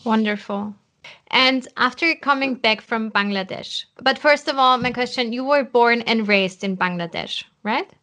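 A woman interviewing a guest, asking brisk follow-up questions and affirming his answers. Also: a little high, pushed and bright.

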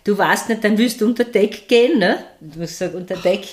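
A woman's voice: mid-range voice, brisk, a little hiss or hum. A middle-aged woman from Styria with an Austrian accent, an actress chatting as a guest in an interview and telling stories with many tag questions. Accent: Styrian Austrian German. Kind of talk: casual interview chat.

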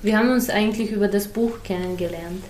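A woman's voice: mid-range voice, quick pace, darkish tone, reverberant, a little hiss or hum. A woman whose first language is Serbian, a novelist guest speaking in a hesitant, thoughtful way with many fillers in a casual conversation.

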